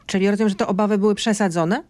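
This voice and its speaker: Female radio host, fifties, medium pitch, pressing news questions, close dry studio mic. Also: fairly even intonation, racing, a little mellow, no room sound. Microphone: studio microphone close in front.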